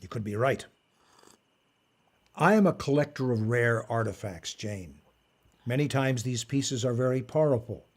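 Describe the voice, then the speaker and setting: A man acting in an audio drama as an old magician and collector, formal, smug and lecturing, with a measured delivery. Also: medium pitch. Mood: calm, explanatory. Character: old male magician and artifact collector, commanding, smugly moralizing.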